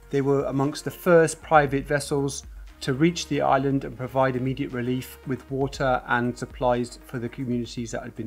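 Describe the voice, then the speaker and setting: Husky man presenting to camera over faint music. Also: medium pitch. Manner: earnest, steady, hands gesturing while talking. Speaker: company presenter. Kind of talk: scripted explainer to camera. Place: dark studio set with a generator on display. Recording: faint music bed under the voice.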